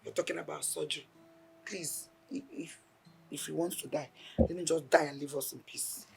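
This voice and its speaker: A woman's voice: low-pitched, normal speed, gravelly, slightly rough, heavily acted. A woman acting a bitter, impatient middle-aged mother in a film drama, with a Nigerian accent, scolding and repeating herself for emphasis. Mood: resigned, bitter. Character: bitter, impatient mother, callous toward her sick husband. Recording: music playing behind the dialogue.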